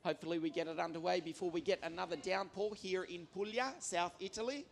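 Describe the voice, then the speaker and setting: Male karting commentator, high-pitched, enthusiastic, calling results live over loud kart engines. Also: fairly even intonation, fast talker, creaky, slight background noise. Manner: enthusiastic, rattles off names and results. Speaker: race commentator. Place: trackside at a karting circuit in southern Italy.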